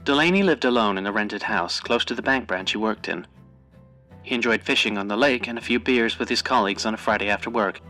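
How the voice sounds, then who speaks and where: A man's voice: fairly low pitch, brisk, sharp tone, soft background music. A man playing an earnest city news reporter in an audio drama, with formal, dramatic broadcast delivery. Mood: grave, formal.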